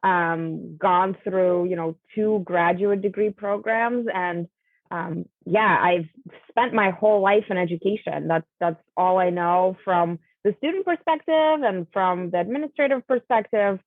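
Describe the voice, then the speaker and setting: A woman whose first language is Russian, speaking as a guest in an interview, measured and full of fillers and restarts. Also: mid-range voice, dry recording. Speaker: guest, college admissions coach. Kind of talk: conversational interview. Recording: tinny phone-like line, dry.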